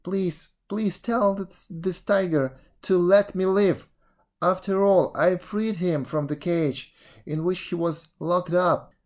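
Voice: mid-range voice, fairly even intonation, takes it slowly, darkish tone, voice pushed up, lightly acted. A storyteller voicing a frightened man who begs politely for his life in a folktale. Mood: pleading. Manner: beseeching, polite.

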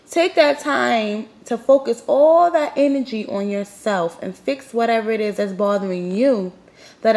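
A woman's voice: fairly high pitch, a little mellow. Brief: young woman host, nasal and sniffling with a cold, blunt and emphatic, speaking straight to the listener. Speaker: podcast host. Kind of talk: candid motivational monologue.

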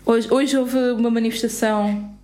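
A woman's voice: fairly high pitch, steady tone, moderate pace, warm tone. A woman with a European Portuguese accent co-hosting a podcast, speaking earnestly and with indignation, often stopping and restarting mid-sentence. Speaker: podcast co-host. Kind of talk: casual podcast chat.

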